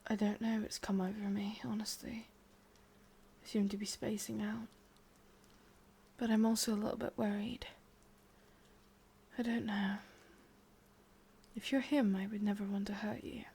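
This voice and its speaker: Breathy, whispering English witch, played by a woman. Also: mid-range voice, level tone, mellow, full character voice. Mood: conflicted, tender menace. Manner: slow, halting, intimate murmuring with sighs. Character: sadistic, lovesick young witch, wistful and possessive. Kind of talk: whispered ASMR roleplay.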